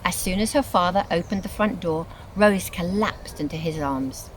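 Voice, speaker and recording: A woman reads scripted storybook narration in an expressive, dramatic storytelling manner. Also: lowish voice, moderate pace, slight background noise, rough recording. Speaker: reader of a children's book.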